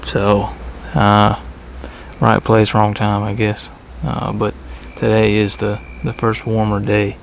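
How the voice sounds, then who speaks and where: A man talking casually to camera as a bowhunting vlogger, in a laid-back, halting way, outdoors. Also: lowish voice, creaky, poor audio. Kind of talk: casual vlog talk to camera. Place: outdoors in a tree saddle at a pasture. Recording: outdoor ambience with faint insect noise. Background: insects and crickets.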